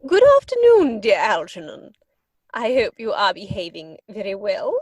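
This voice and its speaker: A woman's voice: medium pitch, huge pitch swings, normal speed, no room sound, low-quality recording. A woman playing an imperious older aristocratic English lady in a theatrical comedy reading, pompous and emphatic. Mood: haughty greeting.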